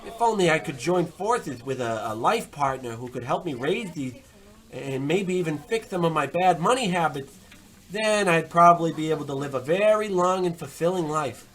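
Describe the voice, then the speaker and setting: Blustering, romantic tough-guy cook played by an actor, mid pitch with big swings, for an old-style radio spoof. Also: expressive, moderate pace, lightly acted, poor audio. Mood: wistful. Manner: hammy, wise-guy bluster. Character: swaggering tough-guy diner chef, single father, romantic. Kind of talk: old-time radio comedy acting.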